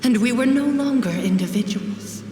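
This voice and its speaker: An actress playing a sorrowful, accusing spirit of murdered women in a video game, speaking in a measured way. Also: female voice, fairly high pitch, mellow, a little hiss or hum, natural voice. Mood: cold, solemn. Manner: slow, measured, accusatory speech. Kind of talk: dramatic video game voice acting. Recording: echoey long reverb, distant.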